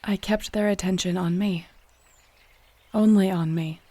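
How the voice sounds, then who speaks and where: A woman playing a defiant, resolute wolf shifter heroine in an audio drama, speaking with steady confidence. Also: fairly low pitch, measured pace, no echo. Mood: triumphant, cold. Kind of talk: audio roleplay drama acting.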